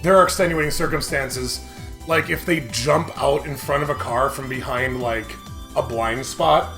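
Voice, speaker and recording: A man's voice: mid-range voice, moderate pace, sharp tone. A man giving casual, rambling, argumentative commentary as host of a video game playthrough. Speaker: host of a visual novel let's play. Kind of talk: casual jokey game commentary, reading dialogue aloud. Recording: background music.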